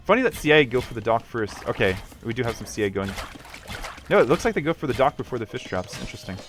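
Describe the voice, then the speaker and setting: A man's voice: medium pitch, big pitch swings, fast, noisy background. A man giving casual live commentary on a video game match, analytical and tentative as he thinks aloud.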